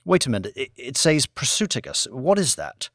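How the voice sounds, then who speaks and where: A man's voice: medium pitch, huge pitch swings, normal speed, fairly bright tone, dry recording, studio quality, heavily acted. A man voicing a male executive in an audiobook, terse and questioning, commanding yet uneasy. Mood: puzzled. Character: Banks, newly powerful male executive, uneasy, irritated, commanding.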